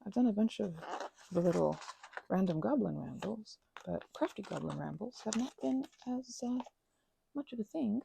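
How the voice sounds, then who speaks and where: A woman in a soft-spoken ASMR crafting ramble, talking close to the microphone in a meandering way and often slipping into whispers. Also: medium pitch, lively intonation, moderate pace, gravelly, slight background noise.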